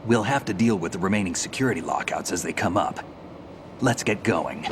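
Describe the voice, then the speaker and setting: A man's voice: low-pitched, animated, a little fast, noisy background. A man playing a battle-hardened, confident soldier in a video game cutscene, speaking in a terse, brusque, commanding way. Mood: brusque, impatient.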